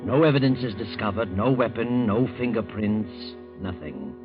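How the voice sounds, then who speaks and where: A man's voice: fairly low pitch, expressive, moderate pace, lightly acted. A man narrating an old-time radio drama in a dramatic, portentous style. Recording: muffled old radio recording. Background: loud music.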